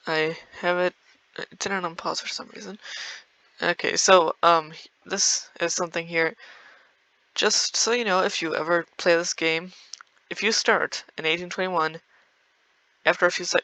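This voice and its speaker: A man's voice: fairly high pitch, sharp tone, clear, resonant tone, dry recording, poor audio. A young man giving rambling, casual commentary over a strategy game, full of fillers and small laughs. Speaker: gameplay video creator.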